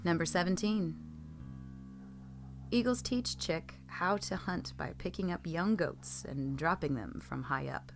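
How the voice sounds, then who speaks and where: A woman's voice: on the low side, measured pace, faint music behind. A woman reading creepy first-person stories aloud as a narrator, in scripted storytelling style. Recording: clearly noisy background.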